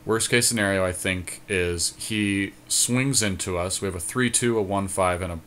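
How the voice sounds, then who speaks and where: Low-voiced man casually talking through game moves. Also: moderate pace, a bit bright, a little hiss or hum. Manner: informal, slangy. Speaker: co-host of a card game podcast.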